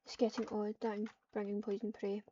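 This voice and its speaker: Girl doing roleplay lines n narration, monotone, mellow voice, kinda muffled and far from the mic. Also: medium pitch, a little fast, a little hiss or hum. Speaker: online roleplay player. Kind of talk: roleplay dialogue and third-person narration. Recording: distant, slightly muffled microphone.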